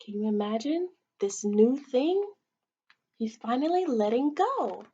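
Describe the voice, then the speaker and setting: Young woman, storybook read-aloud, dramatic teacherly delivery, very lively intonation, unhurried, muffled webcam audio. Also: fairly high pitch, slightly rough. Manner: dramatic, stretching out words, checking in with listeners. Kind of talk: expressive picture-book read-aloud with questions to children. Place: small indoor playroom.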